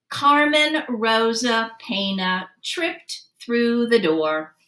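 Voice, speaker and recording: A woman reading a children's story aloud expressively, with deliberate, dramatic emphasis. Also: a little high, sharp tone. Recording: roomy, echoey sound.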